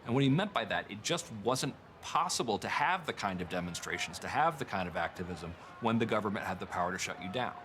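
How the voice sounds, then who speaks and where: A man's voice: lowish voice, lively intonation, fast talker, hardly any pauses, background noise. A man speaking emphatically and with passion in a documentary interview. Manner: emphatic, impassioned.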